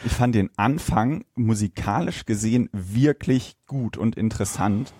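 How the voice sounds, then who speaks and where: A man's voice: lowish voice, a little slow, a little mellow, slightly rough, no echo, studio quality. A man co-hosting a podcast, chatting informally and sharing his opinions. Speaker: podcast co-host. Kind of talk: casual podcast discussion.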